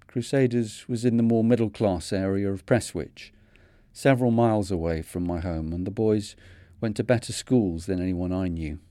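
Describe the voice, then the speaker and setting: Podcast host in his fifties, Manchester accent, low mellow voice, dry close sound, earnest reading. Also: no room sound. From North of England. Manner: measured, earnest storytelling. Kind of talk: scripted reflective narration.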